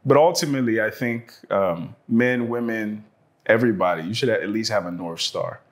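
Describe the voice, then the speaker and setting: A man hosts a relaxed conversation and steers it with pointed, probing questions. His voice is picked up cleanly on a lapel mic. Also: lowish voice, moderate pace. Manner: guiding, asks probing questions. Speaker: host. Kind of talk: casual conversation.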